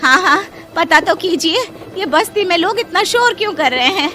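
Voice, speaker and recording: A poor housemaid played by a woman speaks in a high, anxious voice. She is respectful and pleading, in the manner of a cartoon moral story, with music underneath. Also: lively intonation, normal speed, bright, forceful tone, exaggerated voice. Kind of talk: animated Hindi moral story dialogue.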